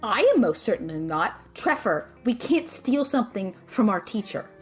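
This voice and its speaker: A woman playing a sharp-tongued, responsible female student mage in an audio drama, blunt and sardonic. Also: medium pitch, very animated, normal speed, soft background music, close to an everyday voice. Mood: exasperated.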